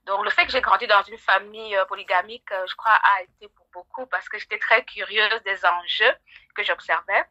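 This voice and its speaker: Bright-voiced woman, slightly muffled. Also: mid-range voice, fairly quick, no echo. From Cameroon. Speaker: psychologist guest. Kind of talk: conversational interview, explaining.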